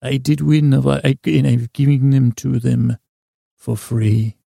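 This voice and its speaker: A man's voice: medium pitch, level tone, unhurried, creaky, mellow, no echo, studio quality. A man voicing a grandly self-assured hotel concierge, courteous and boastful, with formal, pompous phrasing, in a comic podcast.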